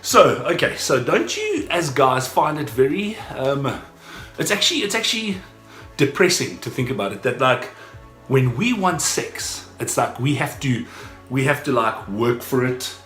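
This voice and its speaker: A man's voice: medium pitch, big pitch swings, a little slow, a bit bright. A man chatting casually on a couple's vlog, blunt and crude, rambling as he makes his points. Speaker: couple vlogger. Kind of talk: casual chat. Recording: echoey room with background music.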